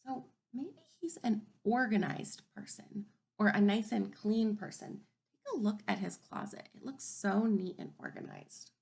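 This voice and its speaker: A woman teaching kindergarten through a read-aloud, thinking aloud and posing simple questions to children in an expressive, emphatic way. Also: medium pitch, measured pace, vocal fry. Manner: expressive, emphatic storybook delivery.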